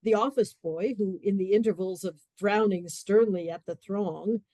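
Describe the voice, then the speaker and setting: A woman narrating a radio play in a measured, literary storytelling manner. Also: medium pitch, warm tone, dry recording.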